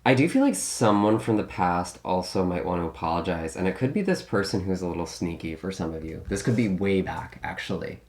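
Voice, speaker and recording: Deep-voiced man, slightly raspy. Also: expressive, a little fast, hardly any pauses. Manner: musing, thinks aloud with many hedges and restarts. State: slightly raspy from a recent illness. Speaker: tarot reader. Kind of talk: casual tarot reading.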